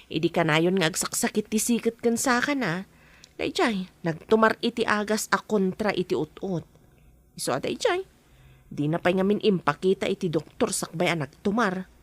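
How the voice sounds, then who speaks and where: Mid-pitched actress as concerned, frank aunt. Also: female voice, moderate pace, no echo, natural voice. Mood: explaining. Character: concerned aunt giving frank, gentle counsel. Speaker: actor in a drama. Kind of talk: Ilocano audio drama acting.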